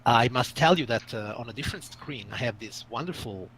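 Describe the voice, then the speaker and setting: Male game master from Italy, hesitant, average pitch. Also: expressive, fairly quick, fairly bright tone, slight background noise, low-quality recording. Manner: hesitant, many filler sounds. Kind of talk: hosting a tabletop game stream.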